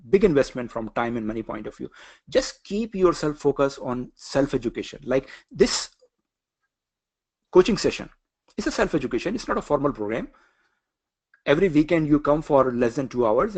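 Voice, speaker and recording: A man's voice: on the high side, a little mellow. A middle-aged man from Pakistan, with a Pakistani accent, giving a career coaching lecture in an earnest manner, often repeating phrases and using fillers. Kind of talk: online coaching session lecture.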